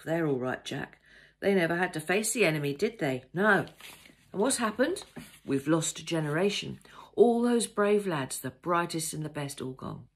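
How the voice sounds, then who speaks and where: A pompous, talkative older man in an audiobook story, acted out by a woman. He blusters in a creaky, husky voice, with a patronising, colloquial manner and little tact. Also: on the low side, lively intonation, normal speed. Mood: blustering. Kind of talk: audiobook character dialogue.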